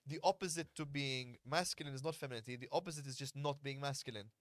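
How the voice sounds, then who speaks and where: A man's voice: medium pitch, fast talker, fairly bright tone, no echo, studio quality. A man, a podcast host and fight coach, talking frankly and at length in casual conversation and swearing freely. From Malta. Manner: blunt, earnest, explains at length, swears freely.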